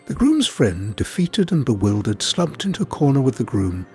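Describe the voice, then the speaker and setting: A male storyteller with a medium-pitched voice reads a folk tale. He varies his intonation a lot as he narrates, and music plays underneath. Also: big pitch swings, moderate pace, a little mellow. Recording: music bed behind the voice.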